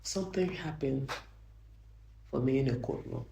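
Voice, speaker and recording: An older woman with a Liberian accent and a soft voice, a judge answering interview questions earnestly, often restarting her sentences. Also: rumbling low, lively intonation, normal speed, gravelly, slight background noise. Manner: earnest, frequent restarts, grows emphatic. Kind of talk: interview answers, reflective storytelling. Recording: roomy echo.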